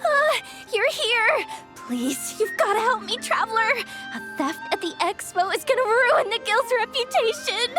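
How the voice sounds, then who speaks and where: An actress playing a frazzled, easily panicked young guild staffer with a flustered, theatrical delivery for a video game. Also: female voice, very high voice, big pitch swings, measured pace, hardly any pauses, over music, full character voice. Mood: panicked, pleading. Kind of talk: animated video game voice acting.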